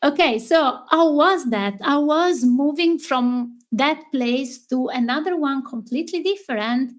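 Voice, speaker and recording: A woman's voice: high-pitched, measured pace. A woman with an Italian accent, a therapist hosting a casual conversation, affirming and thinking aloud with fillers. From Italy. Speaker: therapist, host. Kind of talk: casual interview chat.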